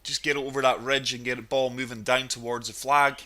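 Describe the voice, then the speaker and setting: A man's voice: medium pitch, level tone, fairly quick, bright, forceful tone. A man with a cold, chatting enthusiastically through golf gameplay commentary. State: has a cold, sniffly. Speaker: gaming channel host.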